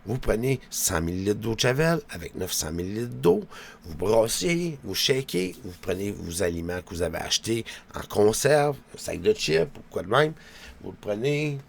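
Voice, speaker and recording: A man with a Quebec French accent talks casually to his viewers in a low, creaky voice. His delivery rambles and is very colloquial, peppered with small tags. There is noticeable noise behind him. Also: sing-song, normal speed. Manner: colloquial, with frequent verbal tags. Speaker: vlogger.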